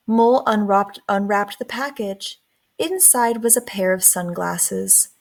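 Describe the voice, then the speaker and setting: A woman reading a children's story aloud in an expressive, deliberate narrating style. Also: mid-range voice, vocal fry. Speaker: storybook reader.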